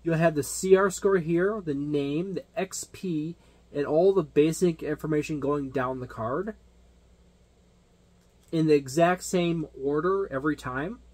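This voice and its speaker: A man with a somewhat high-pitched voice talks through an unscripted product overview. He explains things step by step in a rambling way, often circling back to rephrase or repeat a point, in the manner of a hobby game reviewer. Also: unhurried, a little mellow. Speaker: tabletop game reviewer and game master.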